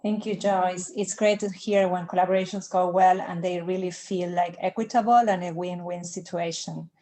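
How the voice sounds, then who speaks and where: A woman moderating an online panel, asking long, measured questions over a video call. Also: lowish voice, fairly even intonation, dark tone.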